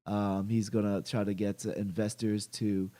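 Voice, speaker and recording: A young man hosting a casual livestream about gaming, stuffy from allergies, talking haltingly with many fillers and restarts. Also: on the low side, flat delivery, dark tone. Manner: greets chat viewers by name. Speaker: gaming channel host.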